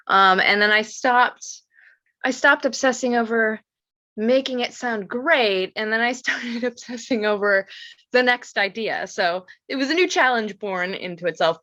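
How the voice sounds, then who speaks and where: Bright, higher-voiced woman. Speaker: podcast guest, writer. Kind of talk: casual chat.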